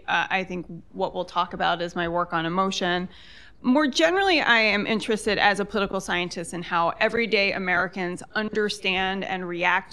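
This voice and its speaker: A woman's voice: medium pitch, bright, forceful tone, clear, resonant tone. A woman political science professor speaking on a panel, articulate and explanatory. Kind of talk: academic panel talk. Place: lecture hall with online audience.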